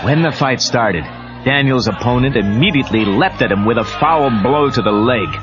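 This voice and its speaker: A man's voice: medium pitch, moderate pace. A man narrating a read-along audio story in a dramatic scripted style. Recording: muffled cassette, music and crowd behind.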